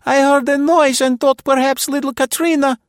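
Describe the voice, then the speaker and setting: A male narrator voicing a plump, flustered middle-aged woman in a spoken story, in a high falsetto. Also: fairly even intonation, normal speed, no room sound, studio quality. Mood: apologetic. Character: plump middle-aged female Polish landlady-type, flustered and eager.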